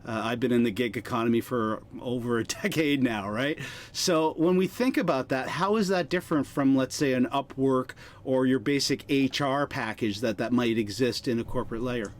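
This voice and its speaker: A man's voice: mid-range voice, normal speed. A man hosting an interview show in a conversational style, responding to a guest and putting questions. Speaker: show host.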